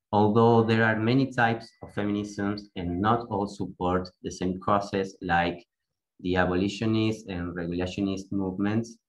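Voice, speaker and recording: A young adult from Argentina with an Argentine Spanish accent, giving an earnest, deliberate conference talk over a video call from a small home room, through an earphone mic. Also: low voice, rough recording. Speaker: activist speaker.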